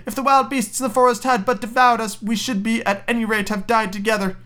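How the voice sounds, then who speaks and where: Man putting on a high-pitched earnest little girl. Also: flat delivery, quick pace, bright, forceful tone. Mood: pleading, tearful. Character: frightened, pleading young girl from a fairy tale. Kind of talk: fairy tale read aloud.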